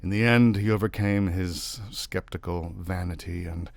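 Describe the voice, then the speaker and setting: A man reading a literary short story aloud as an expressive narrator. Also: sits low, normal speed, vocal fry, no echo. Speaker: story reader.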